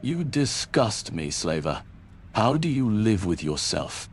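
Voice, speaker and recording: A man's voice: on the low side, very animated, slow, slight background noise, exaggerated voice. A man voicing an earnest, principled adventurer in a fantasy game, speaking firmly and sincerely. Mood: disapproving.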